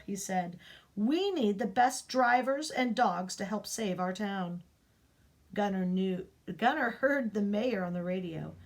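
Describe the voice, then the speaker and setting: A woman reading a children's picture book aloud, expressive, rising up and dropping to a hush for the dramatic parts. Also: mid-range voice. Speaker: storybook reader.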